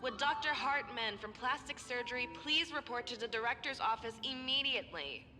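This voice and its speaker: A woman's voice: fairly high pitch, fairly quick. A woman voicing a polite, impersonal hospital announcer in a game, speaking formally over a reverberant public-address system. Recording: long PA reverb, music behind.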